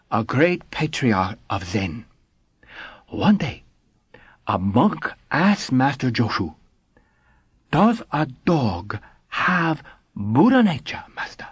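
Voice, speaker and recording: A man's voice: medium pitch, slightly rough, dry recording, studio quality, heavily acted. A man voicing an older scholar who lectures in broken English. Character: shy, smiling older male Japanese Zen scholar. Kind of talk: audiobook character voice.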